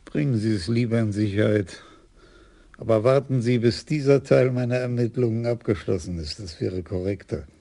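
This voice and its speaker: An actor playing a wry, stubborn police commissioner in a radio crime play. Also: male voice, on the low side, moderate pace, vocal fry, mellow, no room sound. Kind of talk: radio drama acting.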